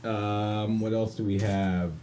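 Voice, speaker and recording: A man's voice: lowish voice, little pitch movement, slow, dark tone. A man hosting a livestream with casual, ad-libbed chat and a wry, offhand manner. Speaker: livestream host. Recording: clearly noisy room ambience.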